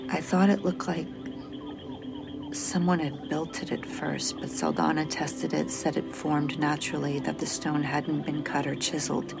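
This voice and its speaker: A worn-down female mission officer stranded in space, earnest and protective of her crew. Her voice sits very low and breaks into creaky fry. It is an audio drama performance with music clearly underneath. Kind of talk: audio drama acting, logged report monologue.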